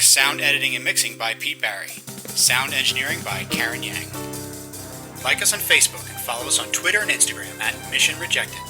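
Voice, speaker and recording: Man, credits announcer, scripted narration, medium pitch, guitar music behind. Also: low-quality recording.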